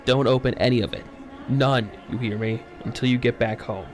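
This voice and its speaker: A man voicing a shaken, secretive plane passenger in a horror story, mumbling and pleading haltingly. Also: mid-range voice, fairly even intonation, close to an everyday voice. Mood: desperate, pleading.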